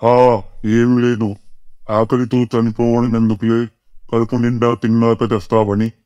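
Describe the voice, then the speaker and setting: A man voicing a male ghost in a cartoon story, talking in a slow, matter-of-fact way as he bargains and advises. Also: mid-range voice, flat delivery, a little mellow, clean tone, dry recording, muffled recording, lightly acted. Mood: bargaining.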